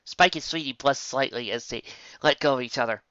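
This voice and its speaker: A man's voice: mid-range voice, brisk, pushed and bright, clear, resonant tone, no room sound. A man narrating a fan fiction story expressively, stumbling over words now and then, on a dull lo-fi recording.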